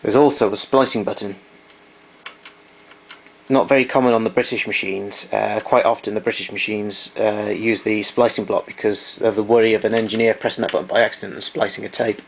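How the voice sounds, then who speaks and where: Low-pitched man demonstrating equipment. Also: steady tone, brisk, gravelly, slight background noise, poor audio. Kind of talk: informal technical demonstration.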